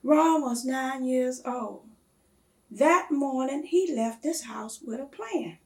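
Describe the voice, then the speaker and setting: A woman reading a children's story aloud in a measured, expressive storytelling manner. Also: high voice.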